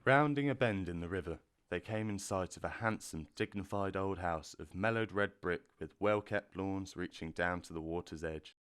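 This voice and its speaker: A man narrating a storybook in a measured, gentle way. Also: sits low, smooth.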